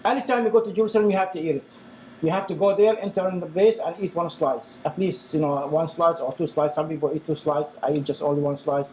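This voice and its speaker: Man, fairly high voice, earnest broken English, echoey room. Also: steady tone, fast talker, darkish tone. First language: Arabic. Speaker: interviewee. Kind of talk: casual personal testimony. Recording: echoey room with faint noise.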